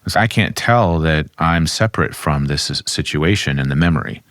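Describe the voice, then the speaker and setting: A man, a somatic therapist, calmly explaining and demonstrating grounding exercises at his desk. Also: very deep voice, moderate pace, no echo, clean studio sound. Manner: calm, instructive, speaks while demonstrating.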